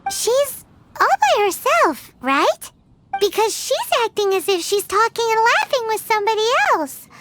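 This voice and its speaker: A woman voicing a tiny floating girl companion who is chatty, excitable, nosy and dramatic, and who speaks of herself in the third person. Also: very high-pitched, measured pace, heavily acted. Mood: curious. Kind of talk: game voice acting. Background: game music.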